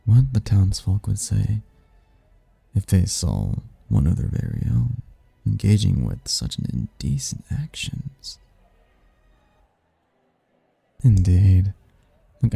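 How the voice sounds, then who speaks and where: An actor voicing a suave, flirtatious male demon in an ASMR roleplay, with a low, husky, gravelly voice and a teasing, smooth-talking manner. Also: sing-song, measured pace, warm tone, no echo, exaggerated voice. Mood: seductive.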